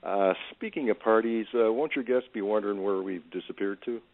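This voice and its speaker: A man's voice: on the low side, a little fast, close to an everyday voice. A man acting in an audio play as a frightened aging drunkard, stammering, evasive and defensive. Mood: nervous, evasive. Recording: tinny phone-like band.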